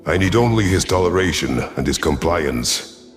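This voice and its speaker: A man reading a dramatic science fiction story as a scripted narration, in a measured, serious manner. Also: sits low, expressive. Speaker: audiobook narrator. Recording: music behind the voice.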